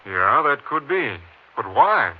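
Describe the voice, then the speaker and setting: An actor playing a gruff Texas Ranger captain who questions skeptically, in 1950s radio drama style. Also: male voice, medium pitch, big pitch swings, takes it slowly, exaggerated voice. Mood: skeptical, curious. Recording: muffled old 1950s radio recording.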